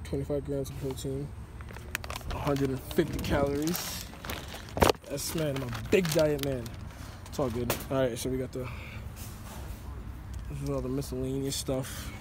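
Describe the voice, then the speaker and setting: A man's voice: mid-range voice, flat delivery, normal speed, pauses often. Guy in his 20s vlogging on his phone, chill slangy talk, noisy store around him. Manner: laid-back, slangy, instructive asides. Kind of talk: casual vlog chat. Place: car and big warehouse store. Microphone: handheld phone camera at arm's length. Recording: noisy store and car background.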